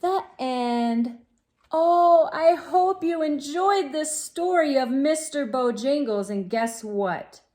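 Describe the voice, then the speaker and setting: A woman hosts a read-aloud for children in a fairly high voice. She takes her time, reading at a very slow pace. Her delivery is expressive and full of exclamation, addressed straight to young listeners.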